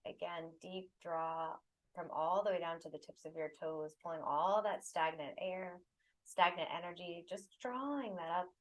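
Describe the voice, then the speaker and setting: A woman leading a guided meditation, giving soothing, unhurried instructions in short phrases with pauses between them. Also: on the low side, poor audio.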